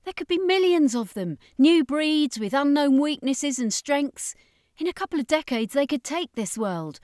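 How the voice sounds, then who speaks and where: A woman acts out an insistent, ruthless witch from an audiobook tale. Her voice sits high and presses hard, in a dry, close sound. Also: expressive, moderate pace, darkish tone, dry recording. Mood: urgent, grave.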